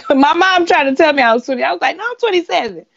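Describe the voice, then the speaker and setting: A woman in her twenties, a singer being interviewed, chatting casually in a giggly, animated storytelling way. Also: sits high, fast, gravelly, muffled recording, a crowd in the background. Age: 20s. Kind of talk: casual chat.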